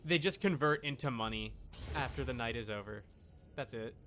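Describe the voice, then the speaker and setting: Bright-voiced man streaming a game. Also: medium pitch, normal speed. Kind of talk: casual live gaming commentary. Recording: clear background noise.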